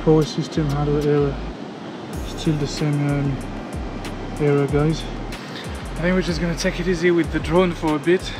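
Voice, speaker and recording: A man in his thirties from France, vlogging casually outdoors on a jungle trail with a handheld action camera, chatty and excitable, sweaty and a little out of breath from climbing. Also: a little high, normal speed, pauses often, over music. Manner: chatty and excitable, talks to viewers as guys, swears. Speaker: travel vlogger. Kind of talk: casual vlog commentary. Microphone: handheld action camera at arm's length.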